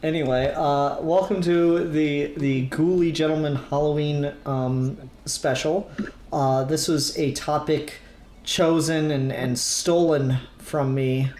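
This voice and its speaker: A man in his thirties hosting a podcast in casual chat, laid-back and swearing, halting with fillers and laughing often. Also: on the high side, steady tone, darkish tone, slight background noise. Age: 30s. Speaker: podcast host. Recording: echoey room.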